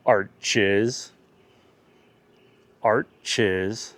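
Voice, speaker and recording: A man's voice: lowish voice, steady tone, extremely slow, a bit bright, slight background noise. Chatty man giving a casual neighborhood tour. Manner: chatty, jokey, self-correcting. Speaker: vlogger, tour guide. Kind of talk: casual touring commentary. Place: outdoors in a residential neighborhood.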